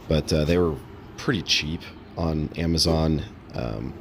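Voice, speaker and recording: A man casually narrating a how-to vlog in a halting, unscripted, matter-of-fact way. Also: very deep voice, noisy background. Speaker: host of a DIY install vlog.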